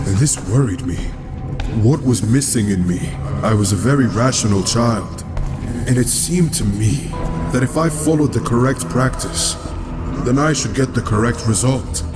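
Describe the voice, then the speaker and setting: A man voicing a grave, formal Egyptian doctor turned vigilante in a comic dub, measured in his storytelling. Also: mid-range voice, natural voice. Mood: grave, reflective. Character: solemn, formal, devout-raised Egyptian male doctor vigilante. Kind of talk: dramatic comic dub monologue. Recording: music behind the voice.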